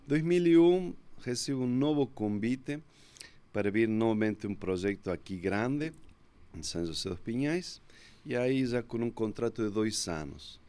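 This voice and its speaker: A man in his fifties from Córdoba, Argentina, with a strong Argentine Spanish accent, telling his life story as a podcast guest in a relaxed, reflective way. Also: mid-range voice. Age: 50s. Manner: unhurried, reflective storyteller, often restarts with "então". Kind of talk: casual storytelling interview.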